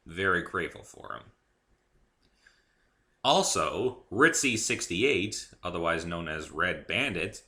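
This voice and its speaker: A man's voice: sits low, bright, forceful tone. A man giving a theatrical, articulate reading of his own story, with emphatic phrasing. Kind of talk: dramatic reading, scripted narration.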